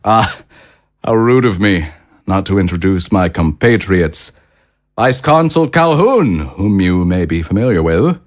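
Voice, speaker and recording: Male actor, courtly authoritarian consul, formal and pompous, audio drama, fairly low pitch, lively intonation. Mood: suave, self-assured. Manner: formal, pompous, measured politeness.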